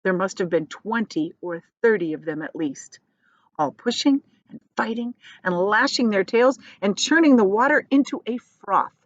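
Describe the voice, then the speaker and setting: A woman's voice: medium pitch, normal speed, no echo, highs cut off. A woman reading a children's book aloud as a teacher would, expressively, adding explanations for her listeners.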